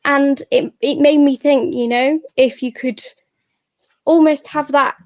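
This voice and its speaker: A woman hosting an event, talking reflectively and informally in a thoughtful, halting way. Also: sits high, fairly even intonation. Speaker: event host and poet. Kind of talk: reflective informal talk to an audience. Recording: echoey, slightly muffled sound.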